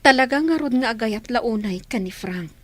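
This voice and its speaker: Woman playing a husky, hesitant Ilocano sweetheart. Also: a little high, lively intonation, moderate pace. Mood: lovelorn, worried. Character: hesitant, devoted young woman in love. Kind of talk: radio drama acting.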